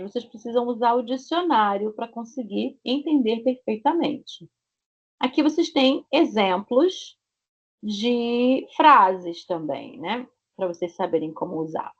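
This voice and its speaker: Carioca woman teaching over a call. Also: medium pitch. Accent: Rio de Janeiro Portuguese. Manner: slow, stretched-out example words. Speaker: Portuguese teacher. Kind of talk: online language class. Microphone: video call. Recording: muffled video call audio.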